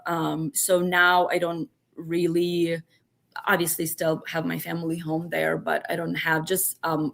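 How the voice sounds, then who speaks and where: A woman in her early forties with a Ukrainian accent speaks as a podcast guest. Her voice is bright and forward, pitched slightly low for a woman. She tells her story casually, slipping into quotes of what was said. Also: vocal fry. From western Ukraine. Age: early 40s.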